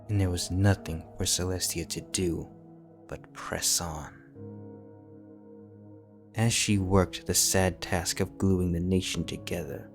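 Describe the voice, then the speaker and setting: A man narrating a fanfiction audiobook in a steady, measured storytelling voice. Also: deep voice.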